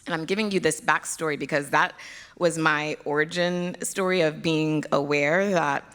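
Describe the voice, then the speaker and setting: A woman's voice: sits low, steady tone, moderate pace, a bit bright, clean tone. A Nigerian American woman giving a chatty, self-deprecating conference talk on stage as a pediatric hematologist. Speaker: pediatric hematologist, keynote speaker.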